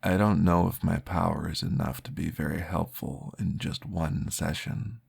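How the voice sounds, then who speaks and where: A man voicing a calm, patient male vampire therapist, soft-spoken and reassuring, often dropping into a whisper in an ASMR roleplay. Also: low voice, moderate pace, exaggerated voice. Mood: calmly upbeat. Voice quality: gravelly, husky. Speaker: therapist guiding a listener.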